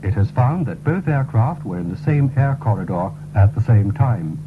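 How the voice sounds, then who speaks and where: A man reading a formal, scripted radio news bulletin in a measured way, on a 1970s broadcast. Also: fairly low pitch, lively intonation, music in the background. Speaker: radio newsreader. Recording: tinny, narrow-band 1970s radio broadcast recording.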